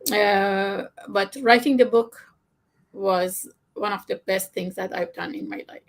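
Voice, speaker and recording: Middle-aged Persian-accented woman, medium pitch. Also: fairly even intonation, unhurried. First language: Farsi. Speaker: guest author. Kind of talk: candid personal conversation.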